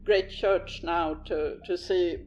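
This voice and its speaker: A man's voice: high-pitched, steady tone, darkish tone, dull audio, a little hiss or hum. Man playing a stammering, harried cop. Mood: urgent. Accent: Swedish. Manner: stammering, hurried. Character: harried male police officer on the phone.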